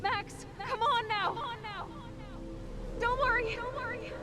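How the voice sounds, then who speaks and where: A woman's voice: extremely high pitch, steady tone, unhurried, a crowd in the background. An American woman playing a brash, rebellious, loyal teenage girl in a video game, slangy and cocky. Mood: urgent, worried. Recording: music behind the voice. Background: game soundtrack music.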